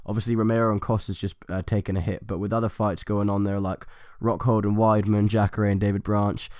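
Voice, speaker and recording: A man's voice: on the low side, little pitch movement, fairly quick, a little mellow, clear, resonant tone, dry recording, studio quality. A man interviewing a fighter, asking long, rambling questions full of fillers.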